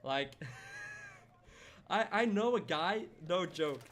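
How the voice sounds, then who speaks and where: A man's voice: high voice, lively intonation, very slow, fairly bright tone, a little hiss or hum. Chatty young man streaming a game. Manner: chatty, rambling, answers chat by name, laughs often. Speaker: live streamer. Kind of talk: casual live stream chat while gaming.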